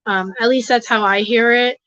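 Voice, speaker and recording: A hoarse, congested woman hosting a livestream, rambling casually with lots of fillers and restarts. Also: on the high side, steady tone, moderate pace, a bit bright, dull audio. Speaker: host of an advocacy livestream. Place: home desk.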